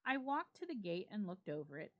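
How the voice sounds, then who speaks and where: A woman reads a classic ghost story aloud in a dramatic style. Her voice sits at a medium pitch and moves widely up and down. The phrasing is measured and emphatic. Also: big pitch swings, muffled recording. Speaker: library staff reader.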